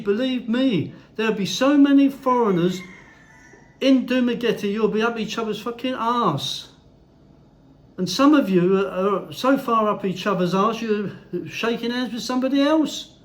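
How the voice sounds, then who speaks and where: An older man, ranting bluntly to camera in a casual vlog and swearing heavily. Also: high voice. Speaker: vlogger. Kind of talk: opinionated casual monologue.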